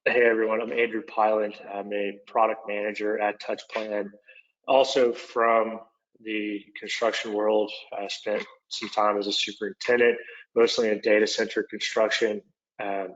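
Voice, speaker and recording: A man with an Oklahoma accent, a product manager presenting a webinar in a relaxed, conversational way with frequent fillers. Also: fairly low pitch, a little slow. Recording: dull lo-fi webinar audio.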